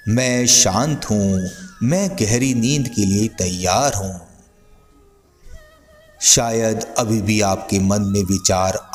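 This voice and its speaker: A man narrating a guided sleep meditation in a soothing, gently instructing manner. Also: on the low side.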